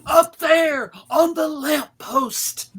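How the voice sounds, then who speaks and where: A woman's voice: sits high, extremely slow, fairly bright tone, voice pushed up, exaggerated voice. A woman voicing a blustering, exasperated traffic cop who barks and scolds, in a live cartoon script reading. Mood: angry, bossy. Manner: barking, scolding.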